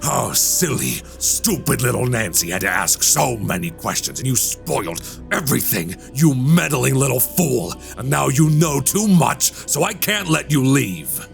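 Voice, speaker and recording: A man acting as a sinister, condescending werewolf in human guise for a radio drama. The low, gravelly voice takes its time and swoops through its phrases with menace, over music. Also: sing-song, slow pace, exaggerated voice. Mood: gloating, monstrous. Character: sinister soft-spoken man who is secretly a werewolf.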